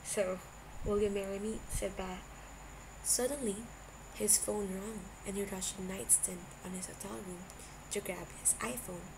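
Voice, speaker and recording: A woman's voice: medium pitch, fairly even intonation, normal speed, gravelly. A woman speaking informally and somewhat tentatively as an amateur reader of fan fiction. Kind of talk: casual introduction and fiction narration. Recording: noisy amateur home recording.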